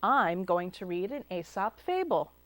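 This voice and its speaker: A woman voicing a gentle female teacher in a storybook, speaking in a calm teacherly tone. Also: mid-range voice, unhurried, dry recording.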